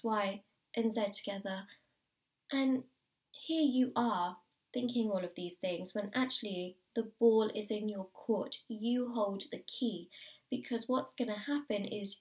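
A woman giving a tarot reading to the viewer, her voice slightly croaky, encouraging and repeating key phrases. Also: fairly high pitch, darkish tone, far from the mic. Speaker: tarot reader. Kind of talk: pick-a-card tarot reading to camera. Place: indoors at a table.

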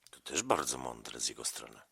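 A man in the role of interviewer, talking conversationally with a child, measured as he sums up and prompts. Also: rumbling low, big pitch swings, creaky, bright, forceful tone, slightly rough.

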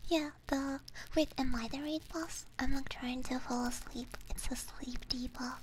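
A woman livestream host chatting in a soft, breathy ASMR whisper, gentle and playful, close to a binaural mic. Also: sits high, steady tone, a little slow, background noise. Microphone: close binaural ASMR microphone.

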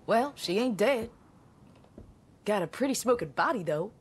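A woman's voice: medium pitch, unhurried, breathy edge. A woman playing a brash, playful young gunsmith in a video game, teasing and bossy in a slangy way. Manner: cheeky, slangy, animated. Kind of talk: video game cutscene acting. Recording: loud music underneath.

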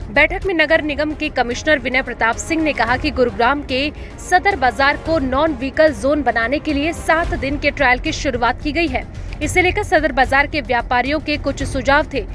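A woman reading a scripted news report as a voice-over in a formal, measured way. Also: high voice, a bit bright.

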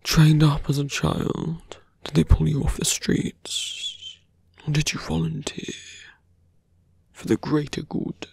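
A man playing a seductive, teasing fantasy villain in an ASMR roleplay, breathy and often whispering, with a languid, smugly menacing, taunting manner. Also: on the high side, fairly even intonation, takes it slowly, a little mellow, no room sound, exaggerated voice. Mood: smug, persuasive.

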